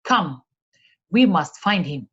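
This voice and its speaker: A cunning chief of a storybook gang of thieves acted out by a woman, giving orders slowly and with swooping emphasis. The sound is a little dull. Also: fairly low pitch, big pitch swings, a bit bright, slightly rough, exaggerated voice. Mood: commanding. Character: male leader of a thief gang, commanding, cunning. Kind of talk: storybook character voice.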